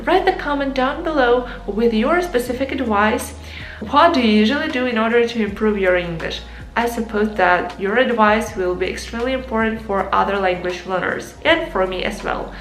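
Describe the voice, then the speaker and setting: A woman's voice: fairly high pitch, moderate pace. A young woman whose first language is Ukrainian, giving earnest advice on learning English straight to her viewers and calling them guys. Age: young adult. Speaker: English teacher and vlogger. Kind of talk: casual advice lesson to camera. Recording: echoey room with faint music.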